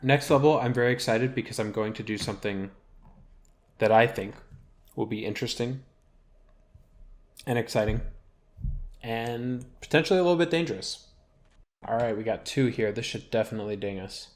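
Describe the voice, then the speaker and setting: A man's voice: fairly low pitch, normal speed, long pauses. A man giving casual, rambling gameplay commentary as a video host, thinking aloud as he plays.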